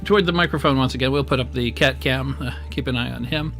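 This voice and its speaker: A man streaming a strategy game live, talking casually and rambling with many fillers, his voice weak and strained. He speaks into a boom microphone at his gaming desk at home. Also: fast talker, a bit bright, faint music behind. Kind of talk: casual live-stream commentary while playing. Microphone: desk microphone on a boom arm.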